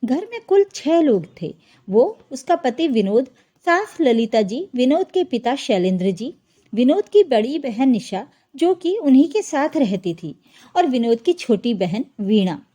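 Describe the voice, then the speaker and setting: A woman's voice: high voice, very animated, a little slow, dark tone. A woman narrating a scripted family drama story as a storyteller.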